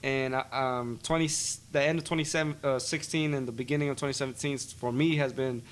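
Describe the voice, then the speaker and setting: A man's voice: medium pitch, fairly even intonation, brisk, a little hiss or hum. A young man from Silver Spring, a rapper being interviewed, talking casually in slang with many fillers. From Silver Spring, Maryland, USA. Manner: laid-back slangy talk, many fillers and "you know what I'm saying". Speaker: guest rapper.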